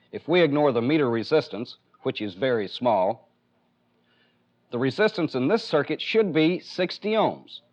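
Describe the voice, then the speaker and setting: A man with an American accent giving a methodical, step-by-step technical demonstration as an instructor in a training film. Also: fairly high pitch. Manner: methodical, plainly explanatory. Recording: dull, band-limited 1970s film soundtrack.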